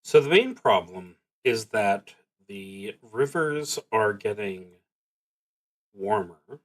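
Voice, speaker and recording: A man hosting a solo roleplaying stream, musing to himself in a halting, deliberate way as he plays. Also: lowish voice, big pitch swings, low-quality recording. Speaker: host of a solo roleplaying livestream. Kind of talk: thinking aloud while playing a solo tabletop game.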